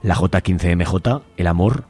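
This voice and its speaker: A man with a lowish voice and an accent from Spain hosts a radio programme. He addresses listeners informally, as if without a script, over faint music. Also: a little slow, a little mellow, slightly rough. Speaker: community radio host. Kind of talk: informal, unscripted radio presenting.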